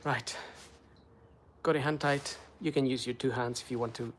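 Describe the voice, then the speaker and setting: A man's voice: medium pitch, moderate pace, a little hiss or hum. A middle-aged man whose first language is Spanish, chatting casually to viewers as he services a car step by step. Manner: chatty, relaxed, talks to live chat viewers. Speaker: car maintenance vlogger. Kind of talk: casual how-to chat while working.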